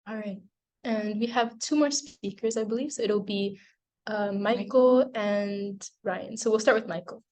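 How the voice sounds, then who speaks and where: A woman's voice: mid-range voice, unhurried, darkish tone. Woman running a student panel, laid-back, lots of likes and ums. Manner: casual, filler-heavy. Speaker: panel moderator. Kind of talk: moderating a panel.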